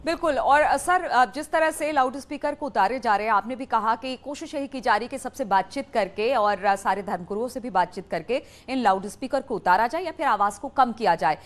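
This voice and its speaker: A woman's voice: a little high, lively intonation, brisk, few pauses. A woman, a TV news interviewer asking long, polite, formal questions.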